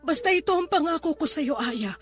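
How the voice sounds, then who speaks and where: A woman's voice: high voice, expressive, brisk, music in the background. A woman with a Filipino accent playing a remorseful, protective mother in a radio drama, speaking in an earnest, soothing and emotional way. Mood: tearful, protective. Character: remorseful, protective mother comforting her grown daughter.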